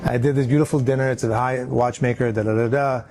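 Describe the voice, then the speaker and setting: A man talking casually and animatedly as a company head, with lots of "like" and "right?" and quick impressions of other people. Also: medium pitch. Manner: animated, swearing, acts out quoted voices; repeats "right?" and "like". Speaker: company chief executive. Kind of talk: casual conversation.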